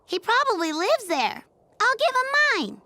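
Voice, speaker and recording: An actor voicing an excitable, kind-hearted little kid in a children's cartoon. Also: very high-pitched, normal speed, no echo, full character voice. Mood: eager, pleading. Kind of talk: cartoon voice acting.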